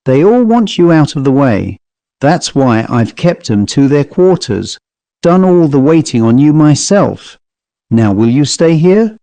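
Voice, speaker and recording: A man's voice: a little high, very animated, measured pace, mellow, dry recording. A man voicing a self-possessed Cockney manservant with a controlled, wistfully reproachful manner in an audiobook story. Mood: insistent.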